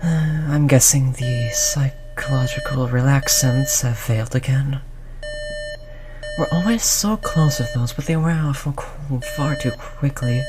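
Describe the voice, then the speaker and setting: A performer of unclear sex playing a cold, condescending researcher who disciplines a captive test subject in a measured, mock-polite way, in an audio roleplay. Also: medium pitch, close to an everyday voice. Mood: weary, businesslike. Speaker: scientist running human experiments. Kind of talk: scripted audio roleplay drama.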